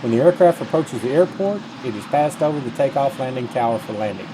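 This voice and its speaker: A man's voice: mid-range voice, expressive, quick pace. Middle-aged man, noisy recording. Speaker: gaming video host. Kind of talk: casual gameplay commentary, reading tutorial text aloud. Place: indoors at a computer, webcam.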